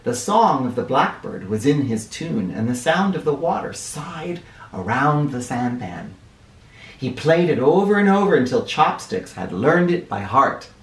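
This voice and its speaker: A man reads a children's storybook in a roomy recording, a little away from the mic. His delivery is unhurried and very expressive, like a teacher with a class. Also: medium pitch. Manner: animated, with explanatory asides. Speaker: teacher reading aloud to children. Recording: roomy sound, distant microphone.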